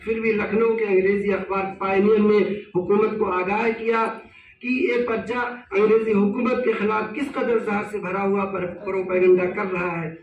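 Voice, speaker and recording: A man's voice: sits high, flat delivery, moderate pace, recorded from a distance, highs cut off. Formal man reading a paper in an echoey hall. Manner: formal, heavy Persian-Arabic Urdu diction. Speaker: seminar speaker. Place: seminar hall. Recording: echoey hall recorded from afar.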